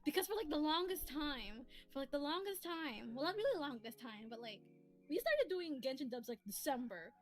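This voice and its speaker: A woman's voice: high-pitched, big pitch swings, brisk. A woman hosting a casual livestream, chatting in a giggly, rambling way and repeating the starts of her phrases. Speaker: streamer and host, comic dub maker. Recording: soft music playing behind the voice. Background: soft lofi music.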